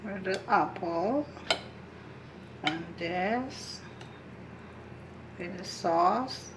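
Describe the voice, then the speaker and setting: Slow-paced woman, casual home cook. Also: on the low side, noisy background. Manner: informal, simple short phrases. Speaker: home cook hosting a cooking vlog. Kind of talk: casual cooking demonstration, talking to viewers. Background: background music.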